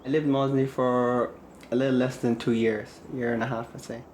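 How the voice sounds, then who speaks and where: A man's voice: mid-range voice, flat delivery, fairly quick, darkish tone, slight background noise. A young man from Eritrea reflecting on his experience in a documentary interview. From Eritrea, later Ireland. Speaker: documentary interviewee, former resident.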